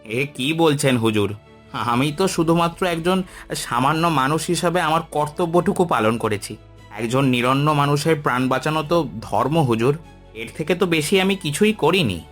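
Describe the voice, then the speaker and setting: A man voicing a humble, kindly village farmer in an animated moral story, respectful and earnest. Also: on the high side, normal speed, faint music behind. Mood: humble, surprised.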